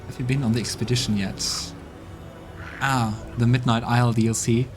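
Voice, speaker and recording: A man's voice: mid-range voice, little pitch movement, normal speed. A man hosting a role-playing game let's play, chatting casually and musing aloud as he plays. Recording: game music playing behind.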